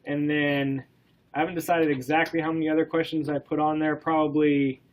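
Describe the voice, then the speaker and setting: A man with a cold and a stuffy nose, giving a classroom lecture in a relaxed way, with many ums and questions to the class. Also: fairly high pitch, fairly quick, poor audio. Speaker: college instructor.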